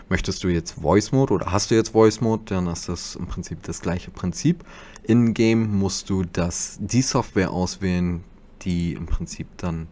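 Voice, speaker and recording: A man's voice: low voice, a little mellow, slight background noise. A man giving a casual tutorial commentary as host, speaking into a desktop USB microphone.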